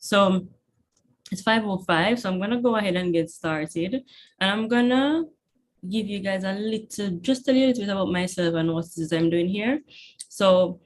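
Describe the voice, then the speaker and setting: A young woman with a Jamaican accent leads a live online chemistry class through her computer mic. Her voice sits a little low, and she talks in a chatty, encouraging way, often stopping to check that her students are with her. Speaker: chemistry tutor. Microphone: computer mic on video call.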